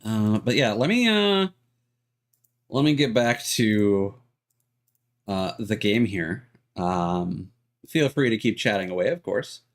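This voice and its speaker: A man's voice: on the low side, animated, takes it slowly, long pauses. A man livestreaming a video game and chatting casually with viewers, hesitant and rambling, often trailing off. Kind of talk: casual chat with stream viewers.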